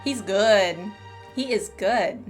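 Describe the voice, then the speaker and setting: A woman's voice: medium pitch, takes it slowly. A woman giving casual, enthusiastic, gushing commentary as she plays through a game. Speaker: game streamer. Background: game music.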